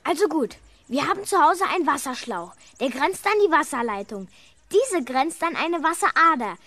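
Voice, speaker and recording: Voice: sits high, very animated, normal speed, pushed and bright, no room sound, full character voice. An actor playing a bossy, boastful older schoolboy in a children's radio play, goading in manner. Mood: bossy, scheming. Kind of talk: 1970s German children's radio play acting.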